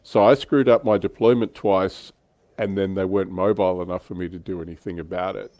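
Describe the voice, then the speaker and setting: A man's voice: low-pitched, fairly even intonation, a little fast, a little mellow, dry recording. A man hosting a livestream, chatting casually and in a self-deprecating way about his tabletop wargaming hobby. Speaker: livestream host.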